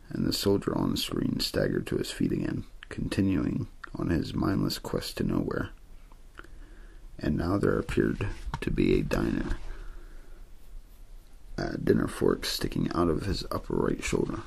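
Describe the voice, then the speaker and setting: A man reading a novel aloud as a quiet ASMR bedtime story, in an understated, untheatrical way with a low, gravelly, husky voice. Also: huge pitch swings, a little slow.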